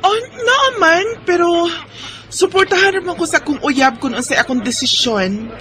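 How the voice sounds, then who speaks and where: High-voiced pointed young woman, acted by a woman. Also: moderate pace, bright, forceful tone. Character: pointed, independent female cousin back from abroad. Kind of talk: Filipino radio drama acting in Bisaya. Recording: noisy recording with clicks.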